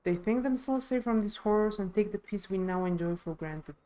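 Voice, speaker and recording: A woman voicing a thoughtful, steadfast young villager in a fantasy game, measured and explanatory. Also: mid-range voice, warm tone, close to an everyday voice. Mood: grave.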